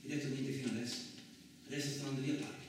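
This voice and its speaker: A man acting in a radio play as a gruff, crude truck driver who tells his story in blustering, rambling, colloquial speech. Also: mid-range voice, flat delivery, very fast, lightly acted. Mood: curious, grumbling. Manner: blustering, rambling, conversational, mildly swearing. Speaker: actor in a solo radio play. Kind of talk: colloquial theatrical radio monologue. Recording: roomy, echoing distant miking with faint noise.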